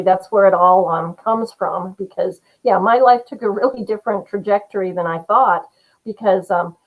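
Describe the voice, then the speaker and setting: A woman's voice: medium pitch, low-quality recording. A woman guest author from Rhode Island speaking earnestly and reflectively in a casual interview, often murmuring agreement. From Rhode Island, USA. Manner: earnest, reflective, frequent mm-hmms.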